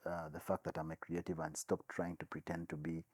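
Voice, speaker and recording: A man's voice: low voice, brisk, slightly rough, no echo. Ugandan guy chatting easy as a guest, lots of ums, dry close sound. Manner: relaxed, laughs often, many fillers. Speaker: guest, advertising CEO. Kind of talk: casual interview chat.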